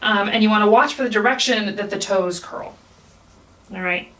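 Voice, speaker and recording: A woman's voice: mid-range voice, moderate pace, fairly bright tone. A woman clinical instructor explaining an exam demonstration step by step. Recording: roomy echo, distant mic.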